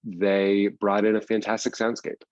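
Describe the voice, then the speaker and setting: A man's voice: on the low side, monotone, moderate pace, dry recording, lo-fi sound. A man answering as an interview guest in a conversational, explanatory way, stressing points by repeating them. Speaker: guest, screenwriter and audio drama producer.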